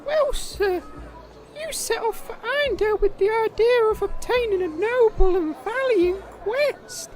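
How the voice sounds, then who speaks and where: A woman's voice: extremely high pitch, animated, measured pace, a little mellow, a faint crowd. A woman playing a meek, loyal, hesitant boy squire in a comedic fantasy audio drama. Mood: meek, earnest. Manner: polite, hesitant, deferential.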